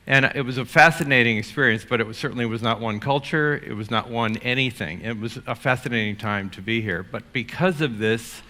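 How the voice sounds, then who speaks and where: Older New man, keynote speaker, forceful bright tone, loose and chatty with lots of restarts. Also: mid-range voice, fairly quick, hardly any pauses. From United States. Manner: energetic, rambling with many fillers and restarts. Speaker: conference speaker. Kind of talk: keynote talk. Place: conference stage.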